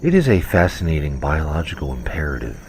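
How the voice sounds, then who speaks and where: An actor playing a learned, formal, solemnly analytical alien scientist in a sci-fi comedy audio drama. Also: male voice, extremely low pitch, very animated, fast talker, creaky, very noisy, exaggerated voice. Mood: detached fascination.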